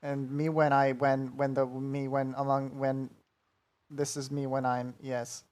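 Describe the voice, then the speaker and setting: A man's voice: mid-range voice, little pitch movement, measured pace, darkish tone. A man chatting casually who often halts and stumbles over his words. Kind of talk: casual chat.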